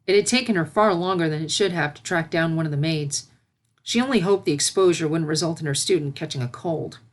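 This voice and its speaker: Female storyteller, lower pitch, expressive scripted fiction narration. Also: brisk.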